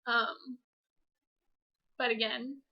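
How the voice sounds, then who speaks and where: Young female vlogger: very bright, higher-pitched voice, chatty and self-deprecating, slightly dull lo-fi sound. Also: flat delivery, very slow, creaky. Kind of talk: casual personal vlog storytelling.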